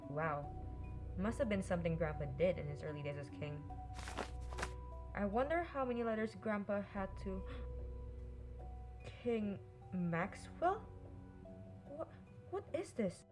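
A woman's voice: medium pitch, lively intonation, a little slow, pauses often, music in the background, lightly acted. A woman playing a curious, restless young princess and affectionate sister in an audio drama. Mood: curious, puzzled.

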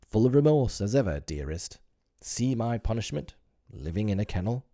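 A pompous, sentimental father, voiced by a male narrator in a storybook reading, grand and self-pitying in his delivery. The voice stays low and gravelly, in a dry, close recording. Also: animated, normal speed, dark tone, no echo. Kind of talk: audiobook character voice.

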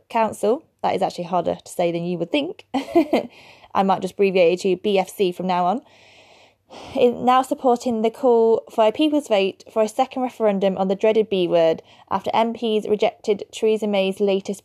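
Chatty woman podcast host, mellow. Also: medium pitch. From England. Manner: chatty, laughs at her own stumbles. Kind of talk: solo podcast commentary.

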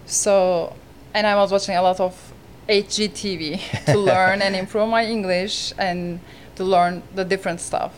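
A woman's voice: medium pitch, fairly even intonation, low-quality recording. Turkish-accented woman with faint background hiss. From Turkey. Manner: earnest, halting non-native phrasing. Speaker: real estate agent. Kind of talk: podcast interview.

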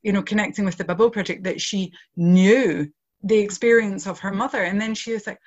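A woman's voice: medium pitch, fairly even intonation, brisk, lo-fi sound. A woman from outside Ireland, a project founder talking earnestly in an interview, with lots of "you know" fillers. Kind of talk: reflective interview talk.

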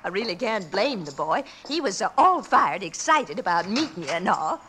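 Anxious, hospitable homesteader wife: bright homespun voice, faintly noisy old radio drama. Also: female voice, medium pitch, big pitch swings, normal speed. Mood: friendly, flustered. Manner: homespun, hesitant. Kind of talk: 1950s radio western acting.

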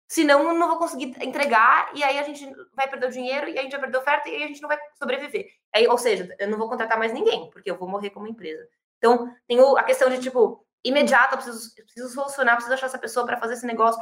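A Brazilian woman in her thirties, speaking in a rapid, animated rush. She restarts often and piles up fillers. The room gives her voice a noticeable echo. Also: on the high side. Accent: Brazilian Portuguese. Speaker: startup founder. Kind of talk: interview answer.

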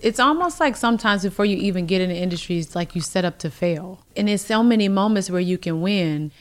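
A woman's voice: medium pitch, animated, a little fast. A woman speaking casually and reflectively as an interview guest.

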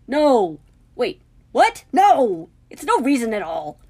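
A woman's voice: mid-range voice, lively intonation, normal speed, voice pushed up, natural voice. A woman voicing an indignant, scornful teenage boy wizard with emphatic, sarcastic outbursts. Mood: exasperated.

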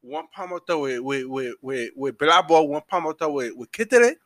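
A man's voice: a little high, lively intonation, normal speed, a bit bright. A Liberian man with a Liberian accent hosting a solo podcast, ranting emphatically and repeating words as he gets heated. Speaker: podcast host.

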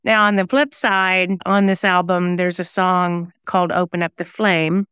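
Low, very clear-voiced woman. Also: little pitch movement, a little slow, a bit bright, no echo, studio quality. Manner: thoughtful, anecdotal storytelling. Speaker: singer-songwriter and novelist guest; former radio DJ. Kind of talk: reflective interview conversation.

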